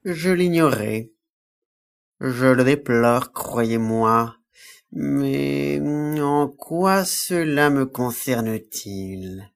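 Slow, suave count played by a man. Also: medium pitch, expressive, vocal fry, heavily acted. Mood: coolly regretful. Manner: formal, polite, measured. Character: suave, courteous male alien count, coolly evasive. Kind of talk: French audio drama acting.

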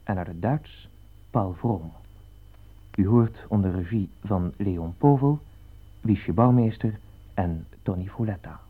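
A thin, phone-like old radio recording of a male announcer. His voice is low and very husky as he reads out scripted credits. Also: slow pace, long pauses.

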